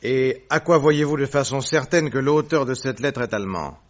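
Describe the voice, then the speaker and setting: Casting: actor as brilliant commanding detective, mid-pitched male voice, courteous but firm, radio-play delivery, band-limited old recording. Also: moderate pace, muffled recording, close to an everyday voice. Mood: didactic, assured. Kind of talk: French radio drama acting.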